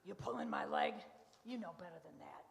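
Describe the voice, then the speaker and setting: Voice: mid-range voice, wildly swooping pitch, brisk, creaky, heavily acted. An actor playing a fussy, opinionated pie judge in a live radio comedy, with emphatic, teasing delivery. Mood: teasing, scornful. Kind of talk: live old-time radio comedy acting. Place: large church hall, live stage show. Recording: noisy, reverberant hall recording.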